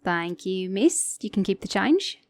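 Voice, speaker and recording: A woman acts out a flirty female car mechanic in a romantic ASMR roleplay. Her accent blends Australian and British, and her voice sits at a middle pitch. She teases playfully, with a sassy, affectionate confidence. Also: big pitch swings, measured pace, no echo. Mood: cheerful, excited. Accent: Australian-British mix. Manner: flirty, teasing, playful, with soft chuckles.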